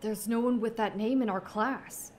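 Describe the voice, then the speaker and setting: A woman's voice: a little high, fairly even intonation, moderate pace, a little mellow, a little hiss or hum, close to an everyday voice. A woman voicing a mild, concerned teenage schoolboy in an anime fan dub, speaking politely and with some puzzlement. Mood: puzzled.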